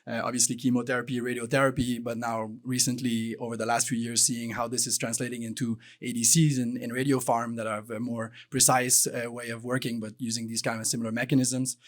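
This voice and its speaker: A man's voice: medium pitch, flat delivery, a little fast, hardly any pauses. A man moderating a business panel, posing questions with many restarts. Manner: hesitant, repeats words. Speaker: panel co-chair.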